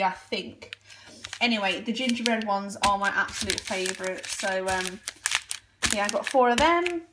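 Young woman vlogger, slow, chatty, hesitant; home kitchen recording with background noise. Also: a little high. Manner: chatty, hesitant with frequent ums and "I think". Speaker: vlogger showing a grocery haul. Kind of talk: casual chat.

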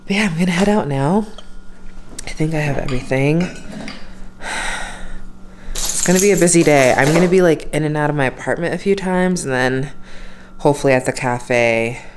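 A woman's voice: deep voice, a little hiss or hum. A young woman with a stuffy nose chatting casually through her day for a vlog, talking to a handheld camera in her apartment and car. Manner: chatty, rambling, with many fillers. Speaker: vlogger. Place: apartment and then a parked car. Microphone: handheld camera at arm's length.

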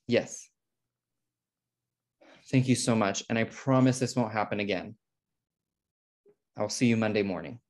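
A man's voice: on the low side, unhurried, pauses often, a little mellow, natural voice. An American man playing a fussy, controlling twin brother in a sitcom table read. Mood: earnest. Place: indoor room, on a video call. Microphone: video call microphone.

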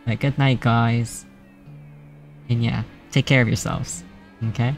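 Male streamer, mid pitch, gravelly fry, flat and soft-spoken, faint game music underneath. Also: slow. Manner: soft-spoken, laughs often, self-described monotonous voice. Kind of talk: casual stream sign-off chat.

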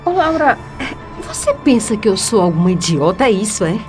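An actress with a Brazilian accent playing a defiant, self-righteous young student in a theatrical, taunting radio soap style. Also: female voice, mid-range voice, big pitch swings, normal speed, a little mellow, soft background music, lightly acted. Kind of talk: radio soap opera acting.

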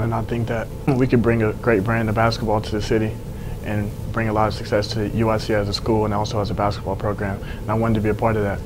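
A young American man in his late teens giving plain, earnest, measured interview answers as a college basketball player. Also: lowish voice. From Texas, United States. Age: young adult, late teens. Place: indoor room at a sports facility, seated. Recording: clear background noise, room ambience.

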